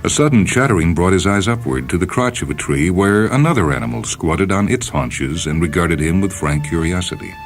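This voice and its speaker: A man with an extremely low voice narrates a short story with measured, dramatic expression. Soft music runs underneath his reading, in the manner of a radio fiction program. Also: expressive.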